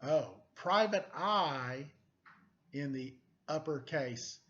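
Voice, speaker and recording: A man's voice: on the high side, lively intonation, extremely slow, a bit bright, lo-fi sound. A middle-aged man reading a story aloud for children in a playful, quizzing manner. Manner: playful, quizzing young listeners. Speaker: story-time teacher reading a picture book aloud.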